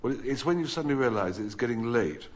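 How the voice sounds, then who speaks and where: A man's voice: mid-range voice, lively intonation, quick pace. A British man answering as a panellist on a radio quiz, with casual banter. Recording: noisy, dull old radio recording.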